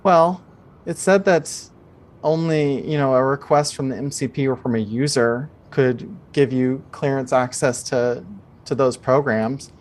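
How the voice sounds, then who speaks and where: Male game master, average pitch, conversational tabletop narration and explanation. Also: a little slow.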